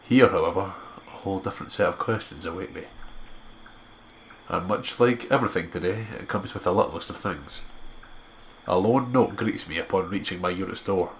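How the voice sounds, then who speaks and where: A man's voice: deep voice, normal speed, a little hiss or hum. A man with a congested nose narrates a visual novel and adds casual commentary as a co-host. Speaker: let's play co-host reading visual novel aloud.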